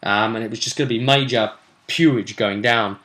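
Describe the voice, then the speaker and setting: A man's voice: mid-range voice, moderate pace, a bit bright, slight background noise. A man telling the story of a role-play session as game master, in an informal, slangy and mildly sweary way.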